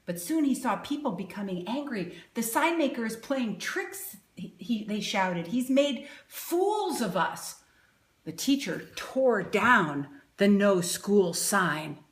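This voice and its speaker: A woman's voice: medium pitch, very animated, takes it slowly, roomy sound. A middle-aged woman reading a picture book aloud to children, exclaiming and asking them questions as she goes. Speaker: storybook reader and educator.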